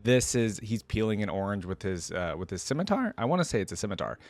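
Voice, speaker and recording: A man's voice: lowish voice, sing-song, fast, faint music behind. A man hosting a reaction video, giving casual off-the-cuff commentary in colloquial language as he thinks aloud.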